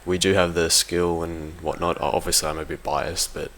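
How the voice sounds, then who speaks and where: Low, flat-toned young-sounding gamer guy, bright edge. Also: moderate pace, slight background noise. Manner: hesitant, informal, self-correcting. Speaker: competitive gaming team member giving an audio log. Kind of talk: casual unscripted commentary.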